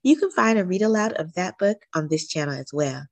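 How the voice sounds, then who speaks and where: Very animated woman reading a storybook. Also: fairly low pitch, fairly quick, dry recording. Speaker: story reader, host of a read-aloud channel. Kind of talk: expressive children's picture book read-aloud.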